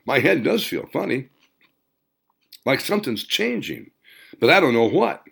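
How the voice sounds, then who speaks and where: A man's voice: mid-range voice, sing-song, measured pace, gravelly, fairly bright tone, husky, voice pushed up, heavily acted. A man voicing a puzzled, curious woman in an audiobook, hesitant and questioning. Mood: uneasy. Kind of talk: audiobook character dialogue.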